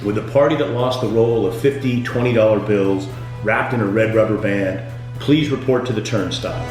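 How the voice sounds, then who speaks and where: A man delivering scripted comedic tour-guide patter as a boat skipper, with deadpan, punning jokes. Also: lowish voice, normal speed. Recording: very echoey room, music underneath.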